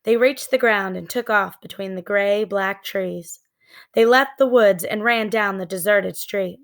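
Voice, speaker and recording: Slow-paced woman reading a children's story. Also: mid-range voice. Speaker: read-aloud storyteller. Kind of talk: scripted children's book narration.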